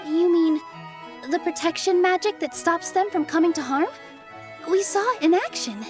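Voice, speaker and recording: Gentle young heroine for a game: high voice, polite, considered delivery. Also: female voice, moderate pace, over music. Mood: attentive. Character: gentle, thoughtful young woman adventurer. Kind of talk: video game cutscene acting.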